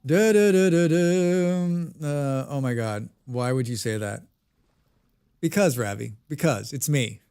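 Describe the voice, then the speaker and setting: A man's voice: fairly high pitch, slow pace, dark tone, clean tone. Chatty American man streaming a game. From United States. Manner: chatty, talks to chat and answers comments. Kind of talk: casual live-stream chat while gaming.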